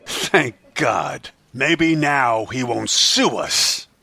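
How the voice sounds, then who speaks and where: A man playing an irate, scornful city mayor in a superhero fan dub, berating people in a rough, forceful voice. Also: medium pitch, very animated, drawn-out words, heavily acted. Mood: dismissive.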